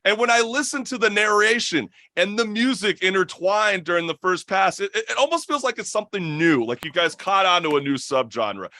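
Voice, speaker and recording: Bright, high-voiced male talk show host. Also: animated, a little fast, hardly any pauses, dry recording. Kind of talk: casual chat.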